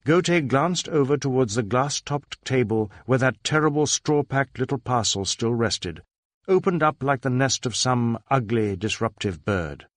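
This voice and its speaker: A man's voice: mid-range voice, animated, unhurried, no room sound, studio quality. A man reading a literary crime story as a scripted narration. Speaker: audiobook narrator.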